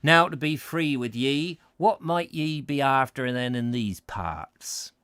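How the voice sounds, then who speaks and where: A man voicing a chatty old male pedlar who talks in a slow, folksy, old-fashioned rural dialect. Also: mid-range voice, very animated, fairly bright tone, no room sound, studio quality. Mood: curious.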